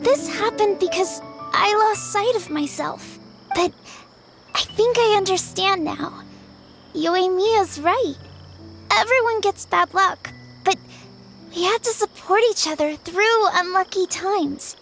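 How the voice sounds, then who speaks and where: An actress playing a gentle, earnest, childlike character in an anime-style video game, humble and halting. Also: female voice, very high-pitched, vocal fry, over music, full character voice. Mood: earnest, grateful.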